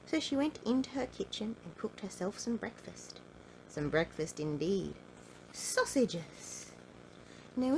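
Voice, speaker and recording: A woman reading a children's storybook in an animated, playful way, drawing out key words for emphasis. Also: fairly high pitch, gravelly, a little hiss or hum, rough recording. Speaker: storybook reader.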